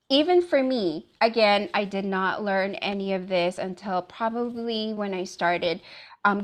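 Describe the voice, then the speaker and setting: A woman's voice: medium pitch, fairly bright tone. A middle-aged woman from the Philippines giving a casual presentation with slides, with lots of "um" fillers. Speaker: wellness speaker.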